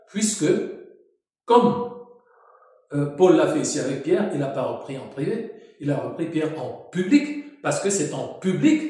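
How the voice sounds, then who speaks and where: A man's voice: a little high, darkish tone. A man born in Cameroon, preaching as a pastor and arguing indignantly point by point against another preacher's words. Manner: indignant, argumentative, emphatic. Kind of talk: sermon rebuttal. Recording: strong echo.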